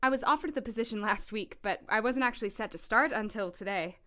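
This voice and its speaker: A woman playing an impatient, sardonic young lab employee in an audio drama, expressive and given to muttering. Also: on the high side, quick pace. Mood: polite, uneasy.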